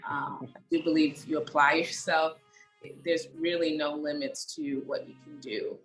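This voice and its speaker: A young woman from Haiti answering questions as an interview guest, her voice notably low for a woman. She speaks in a measured, reflective way, pausing on "um" as she gathers her thoughts. Faint music sits beneath her voice. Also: fairly even intonation, a bit bright, rough recording. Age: young adult.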